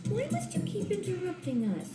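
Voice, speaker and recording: A woman voicing a motherly, gently exasperated female spider in a children's cartoon. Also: extremely high pitch, huge pitch swings, a little fast, echoey room, loud music behind the voice, heavily acted. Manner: motherly, instructive. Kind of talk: animated children's cartoon acting.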